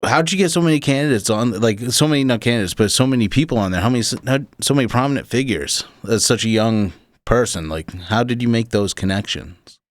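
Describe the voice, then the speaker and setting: A man hosting a podcast, asking questions in a relaxed, casual way with hesitant fillers. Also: medium pitch, fast talker, dry recording. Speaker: podcast host. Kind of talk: casual interview.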